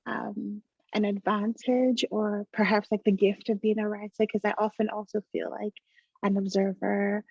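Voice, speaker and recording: A woman on a writers' panel talks tentatively, full of fillers. Her voice is flat, mellow and husky. The dry video call audio sounds dull. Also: medium pitch, moderate pace, no echo. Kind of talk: casual panel conversation. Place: room at home.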